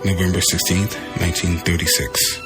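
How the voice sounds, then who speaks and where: A man's voice: low-pitched, huge pitch swings, moderate pace, music in the background. A man hosting a podcast, giving a plain spoken introduction to an old radio show. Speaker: podcast host.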